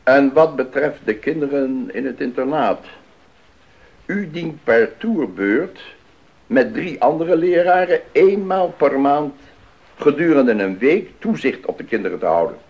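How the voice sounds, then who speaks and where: A man's voice: medium pitch, animated, a little slow, a little mellow. A man playing a formal, self-important town official in a Dutch radio drama, instructing emphatically. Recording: dull 1980s radio recording.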